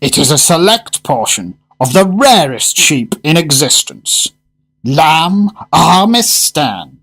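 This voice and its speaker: An actor playing a solemn, eccentric, solitary male gourmet in a radio drama, speaking in formal, deliberate, florid phrases. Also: on the high side, very animated, gravelly, a bit bright, slightly rough, exaggerated voice. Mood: reverent, confiding.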